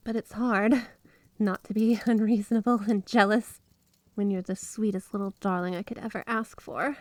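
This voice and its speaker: A woman's voice: on the high side, darkish tone, no echo, lightly acted. A woman playing a flirty, obsessively devoted yandere wife in an ASMR roleplay, speaking in a sweet, clingy, gushing way. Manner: sweet, clingy, breathy giggles, gushing affection. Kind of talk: ASMR roleplay, addressing the listener as spouse. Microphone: close studio microphone, dry.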